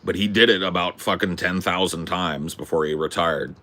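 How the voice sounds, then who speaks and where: A man with a deep, distinctive voice talking in a casual, rambling way, opinionated and emphatic, swearing freely. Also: fairly even intonation, moderate pace, sharp tone. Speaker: host.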